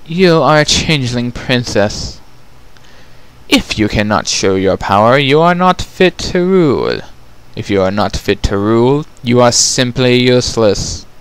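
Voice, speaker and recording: A man's voice: medium pitch, big pitch swings, measured pace, clear, resonant tone, poor audio. A man voicing a stern, imperious changeling queen and mother with a cold, commanding manner in a storybook reading.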